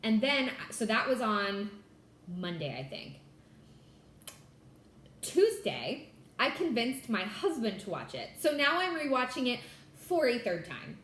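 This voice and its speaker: A woman's voice: fairly high pitch, very animated, measured pace, long pauses, fairly bright tone, echoey room, a little hiss or hum. A young adult woman from California, chatting casually to camera as the host of a stitching vlog, bubbly and full of fillers like "like" and "y'all". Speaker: Flosstube host.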